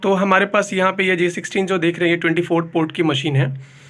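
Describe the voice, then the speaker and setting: A man with a distinctly high-pitched voice presents a practical installation tutorial. He explains like a working technician, going back over points to make them clear. English technical terms are mixed through his explanations. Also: fairly even intonation, fast talker, a bit bright. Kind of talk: spoken hands-on technical tutorial.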